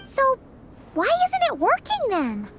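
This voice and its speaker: A woman playing a tiny, childlike fairy companion in a video game, with a squeaky voice and an eager, inquisitive manner. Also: wildly swooping pitch, takes it slowly, fairly bright tone, a little hiss or hum, exaggerated voice. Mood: puzzled.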